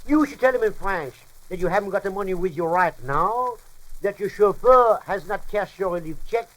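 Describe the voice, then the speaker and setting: A man's voice: sits high, expressive, moderate pace, a bit bright, rough recording. A man playing a pompous, bumbling comic French professor in a radio monologue, lecturing in muddled, mock-formal language and mangled words.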